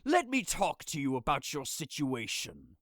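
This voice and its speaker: A man's voice: on the high side, huge pitch swings, measured pace, a bit bright, no echo, clean studio sound, exaggerated voice. A man playing an exasperated, scolding priest in a modern-language Shakespeare audio drama, speaking emphatically. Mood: stern, reasoning.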